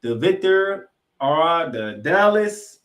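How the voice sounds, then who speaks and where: A man's voice: a little high, big pitch swings, slow, a bit bright. A man hosting a sports podcast, giving recap commentary in an emphatic way and repeating points for effect. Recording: roomy, echoing room sound.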